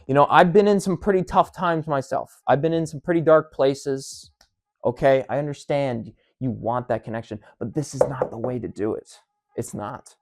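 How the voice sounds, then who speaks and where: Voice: mid-range voice, moderate pace. A video commentator speaking straight to listeners in an opinionated, emphatic and repetitive way, often pleading. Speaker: video creator giving commentary.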